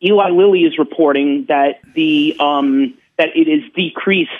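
High-voiced man on a tinny phone. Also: slight background noise. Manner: halting, repeats words for emphasis. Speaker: caller. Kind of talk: earnest phone-in talk. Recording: tinny narrowband phone line.

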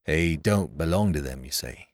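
A man's voice: rumbling low, expressive, normal speed, dry recording, clean studio sound, voice pushed down. A man voicing a kindly, earnest male carrier in a storybook reading. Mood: anxious.

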